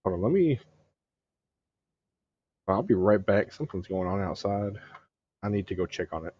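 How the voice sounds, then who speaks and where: A man streams a game with casual commentary in a deep, mellow, husky voice. He explains things haltingly and without hurry. The sound is dull and close. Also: expressive.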